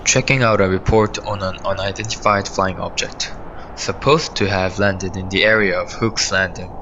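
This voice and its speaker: A man's voice: deep voice, steady tone, normal speed, sharp tone, muffled recording. A man voicing a cautious state trooper in a school radio play, speaking earnestly and a bit hesitantly. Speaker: student actor. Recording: clear background noise.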